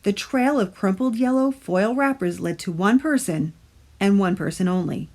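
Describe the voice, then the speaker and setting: A woman reading a children's story aloud as a storytime narrator, with dramatic pauses and drawn-out key words. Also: mid-range voice, a little mellow. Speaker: library storytime reader. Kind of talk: expressive children's picture-book narration.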